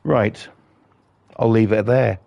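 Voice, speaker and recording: A man hosting casual gameplay commentary, blunt and defiant, his voice croaky from a cold. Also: fairly low pitch, fairly even intonation, slow, dark tone, no echo. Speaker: gaming channel host.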